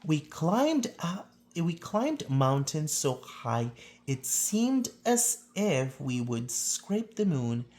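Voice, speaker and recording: A woman reading a children's story aloud in an expressive, dramatic way with deliberate phrasing. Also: sits low. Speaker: storybook reader.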